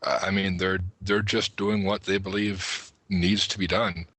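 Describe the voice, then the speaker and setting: A man with a very deep, rough-edged voice discusses things casually as a panelist. He explains and argues with small asides, in a forceful, cutting tone. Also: flat delivery, unhurried, no room sound. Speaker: podcast panelist.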